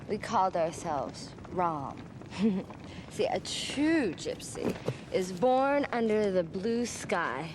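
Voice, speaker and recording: An actress playing a lonely, proud young woman with a teasing yet guarded manner in a game drama. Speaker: voice actor in a game cutscene. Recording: clear background noise or rumble.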